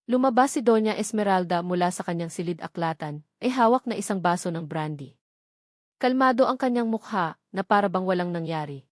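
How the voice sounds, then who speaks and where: A woman narrator reading a scripted romantic drama in a measured, melodramatic storytelling manner. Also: on the low side, animated, no echo, clean studio sound.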